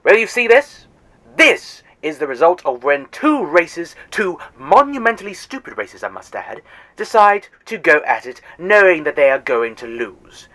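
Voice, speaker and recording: Man voicing a condescending alien, sarcastic, lively swooping delivery, audio drama. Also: a little high, unhurried, heavily acted. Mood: scornful. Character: arrogant sarcastic male alien Eternal guide.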